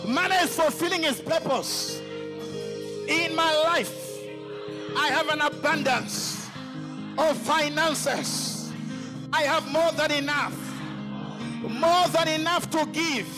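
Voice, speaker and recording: A pastor leading a congregation in forceful call-and-response declarations, often shouting. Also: male voice, extremely high pitch, slow, pauses often, low-quality recording. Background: music.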